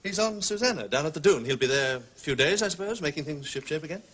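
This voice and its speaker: A man's voice: a little high, animated, quick pace, noisy background, lightly acted. An actor playing a cooperative, mildly aggrieved man being questioned in a British detective drama.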